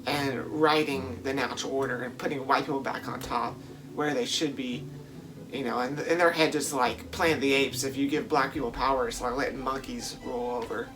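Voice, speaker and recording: A man's voice: mid-range voice, fairly even intonation, brisk, background noise. A man giving informal, emphatic video commentary as a host, repeating words for stress in a casual, conversational way. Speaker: video host reviewing films. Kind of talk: casual spoken vlog commentary.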